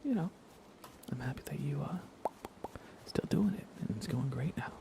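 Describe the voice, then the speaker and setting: A man chatting casually in a soft ASMR gaming-stream voice, often dropping toward a whisper. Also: lively intonation, moderate pace, background noise. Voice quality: creaky, low. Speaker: livestreaming gamer.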